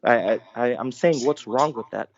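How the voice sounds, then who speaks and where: A man's voice: mid-range voice, moderate pace, vocal fry, dull audio. A man calling into a debate show, insistent and stammering, repeating his demand for proof. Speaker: caller. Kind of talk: argumentative phone-in debate.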